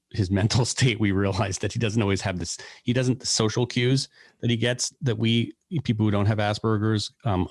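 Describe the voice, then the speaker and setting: New man co-hosting a podcast, fairly low voice, talks in quick animated rambles. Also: no room sound, clean studio sound. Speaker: podcast co-host. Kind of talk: casual podcast chat.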